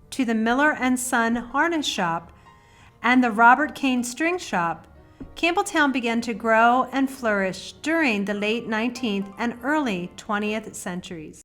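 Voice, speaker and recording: A woman narrates a local history documentary from a script. Her voice sits fairly high, and her reading is steady and measured. Soft music plays under her narration.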